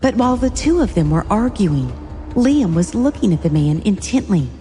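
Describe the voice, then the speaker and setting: A woman narrates a dramatic story from a script. Her tone is soft-edged and dark, at an average female pitch. The voice carries a long reverb. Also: big pitch swings, moderate pace, faint music behind.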